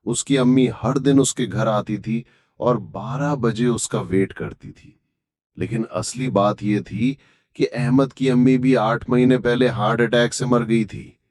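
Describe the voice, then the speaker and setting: A man's voice: mid-range voice, fairly even intonation, a little slow, a little mellow, dry recording, clean studio sound. A man reading a scripted horror story as its narrator. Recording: background music. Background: music.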